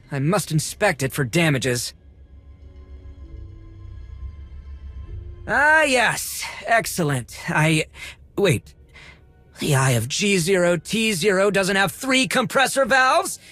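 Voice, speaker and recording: High-pitched, pompous, fussy male collector. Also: very animated, measured pace, sharp tone, no room sound, soft background music, full character voice. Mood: eager, officious. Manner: pompous, theatrical, flustered under pressure. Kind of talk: video game cutscene acting.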